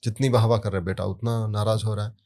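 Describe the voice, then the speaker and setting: Low-voiced man telling anecdotes. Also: little pitch movement, quick pace, a little mellow. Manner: storytelling with anecdotes, emphatic rises on key words. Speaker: sound therapy guest. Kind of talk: conversational explaining.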